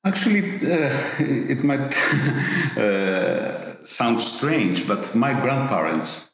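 A middle-aged Greek man with a Greek accent, an executive answering interview questions haltingly, with many fillers and repeated words. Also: mid-range voice, expressive, fairly bright tone, heavy reverb. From Greece. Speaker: business executive guest.

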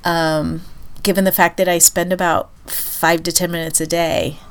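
A woman co-hosting a podcast, chatting casually and thinking aloud with many pauses and fillers, her voice stuffy and nasal from a cold. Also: lowish voice, level tone, smooth. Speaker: podcast co-host. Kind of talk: casual chat.

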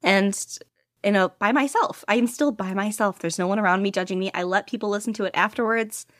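A woman's voice: mid-range voice, fairly quick, fairly bright tone, dry recording, clean studio sound. A woman guest on a casual podcast interview, talking eagerly and laughing often. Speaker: podcast guest, audiobook reader.

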